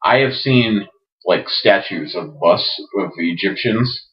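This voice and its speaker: A man's voice: low-pitched, slow pace. A man co-hosting a podcast, chatting casually with wisecracks and personal anecdotes. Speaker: podcast co-host. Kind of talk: casual comedic chat. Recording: dull lo-fi podcast audio.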